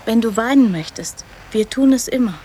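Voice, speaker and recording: A woman's voice: fairly high pitch, lively intonation, moderate pace, warm tone, a little hiss or hum, lightly acted. An actress playing an odd young girl in a radio drama, blunt yet tender, in simple childlike sentences. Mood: curious.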